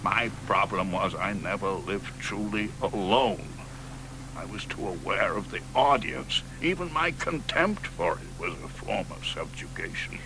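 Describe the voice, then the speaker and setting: A grandiose, mocking elderly poet in a radio play. His gravelly voice rises and falls with wide swings. A steady hiss and hum lie under the old broadcast. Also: lowish voice, measured pace. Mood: scornful. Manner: mocking, theatrical, drops to whispers. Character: aging male poet, scornful, grandiose, teasing. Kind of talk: radio drama acting.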